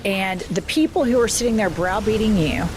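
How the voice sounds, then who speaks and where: A woman's voice: mid-range voice, animated, normal speed, noisy background. A woman interviewing about politics with a sarcastic, leading manner, sometimes reading aloud. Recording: clear music behind the voice. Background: music.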